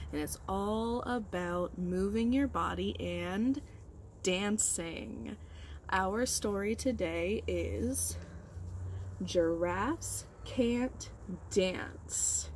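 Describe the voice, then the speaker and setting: A woman's voice: medium pitch, slight background noise. A woman teacher leading storytime for young children, explaining things simply and prompting them with questions. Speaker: early-grade teacher.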